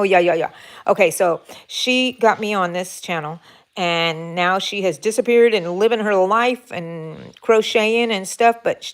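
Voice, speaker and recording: Middle-aged Minnesota woman, chatty livestream host, gushes and rambles. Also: medium pitch. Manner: rambling, effusive, reads out chat names. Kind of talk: chatty live stream talk.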